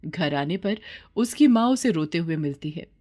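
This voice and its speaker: A woman's voice: fairly low pitch, animated, moderate pace, warm tone. A woman narrating a scripted children's story as the storyteller.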